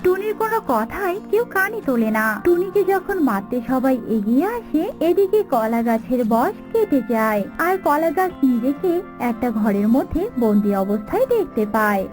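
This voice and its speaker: A woman's voice: high voice, sing-song, moderate pace, few pauses, darkish tone. Woman narrating a children's story over music. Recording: music behind the voice.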